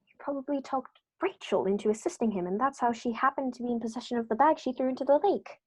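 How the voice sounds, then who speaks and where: A brisk, self-assured male detective, played by a woman. The voice sits a little high and stays crisp as he lays out his deductions. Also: mellow, low-quality recording. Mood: confident. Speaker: actor in radio play. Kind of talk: amateur radio play acting.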